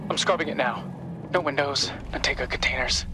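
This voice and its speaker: Game drama role: eager, caring young hacker helper, mid-pitched and bright, explains things keenly, soft music underneath. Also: male voice, brisk. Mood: helpful, focused. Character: earnest, worried young male tech-savvy sidekick. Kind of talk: cinematic game dialogue.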